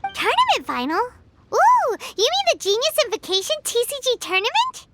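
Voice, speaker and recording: A tiny fairy girl for an anime game, extremely high and squeaky. She is bubbly, naive and excitable, her pitch leaping about. Loud music plays behind her. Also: moderate pace, full character voice. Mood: puzzled. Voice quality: squeaky, childlike. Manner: exclaiming, bubbly, speaks in third person.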